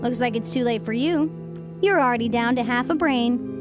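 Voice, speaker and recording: An actress playing a playful, quick-witted young woman who teases and banters in an audio drama. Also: on the high side, lightly acted. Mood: teasing. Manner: teasing, bantering. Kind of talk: dramatized horror audio story. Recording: clear music under the voice.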